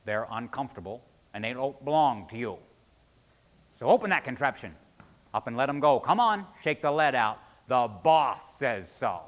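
A man voicing a surly old sea serpent in a folk tale, gruffly bossing and threatening. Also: medium pitch, brisk, few pauses, close to an everyday voice. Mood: bossy, threatening. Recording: hall reverb, live-sounding room.

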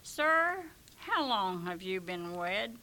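A woman voicing a stern elderly male saint who keeps a gate and makes indignant, booming pronouncements. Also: very animated, takes it slowly, bright, forceful tone, slight background noise, full character voice. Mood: incredulous.

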